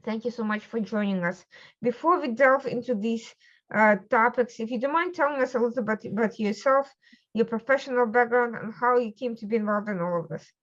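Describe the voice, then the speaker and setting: A woman hosts the show and introduces her guest in measured, formal tones. Her voice sits a little high. The audio is slightly muffled and lo-fi. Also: a bit bright. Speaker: host and editor-in-chief.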